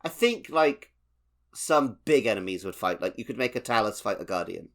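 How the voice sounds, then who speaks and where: A man's voice: on the low side, animated, normal speed, vocal fry, a bit bright. A man co-hosting a casual video game podcast, explaining things in a conversational way. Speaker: podcast co-host.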